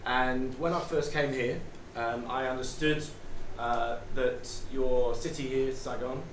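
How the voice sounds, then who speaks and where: A middle-aged man with a British accent gives an informal masterclass talk from behind a bar. He feels his way through sentences, repeating words. His voice sits at a medium pitch and is picked up from a distance in a roomy, noisy space. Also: fairly even intonation, takes it slowly. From United Kingdom. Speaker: bartender and artist giving a masterclass. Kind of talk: informal live talk to a group. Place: small bar, indoors.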